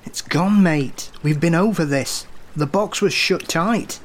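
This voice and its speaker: Man acting a higher-voiced, weary, cautious brother. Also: takes it slowly. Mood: weary pleading. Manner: pleading, reasoning. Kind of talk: audio drama acting.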